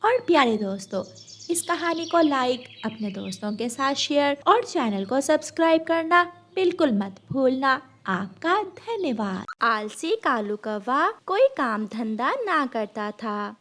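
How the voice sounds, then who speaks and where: A woman's voice: high-pitched, sing-song, slow pace, fairly bright tone. A woman narrating a scripted children's cartoon story.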